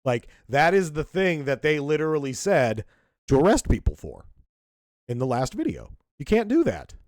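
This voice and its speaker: Podcast co-host, man, lively swooping delivery, dry close sound, worked-up and blunt. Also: on the high side, normal speed, no room sound. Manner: emphatic, indignant, lots of restarts. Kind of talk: casual political commentary.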